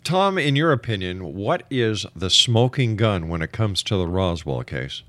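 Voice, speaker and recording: A man's voice: fairly low pitch, very animated, a little slow, fairly bright tone, dry recording. A man hosting a radio talk show, speaking in a broadcast style.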